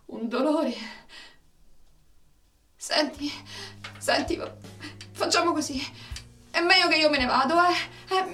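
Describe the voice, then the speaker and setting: A woman's voice: high voice, a bit bright. An actress playing a clingy, fragile, doting mother with a Roman accent in a radio drama. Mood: faint, plaintive, then tender, hesitant. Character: clingy doting mother, emotional and fragile, talking to her son. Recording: roomy, distant microphone.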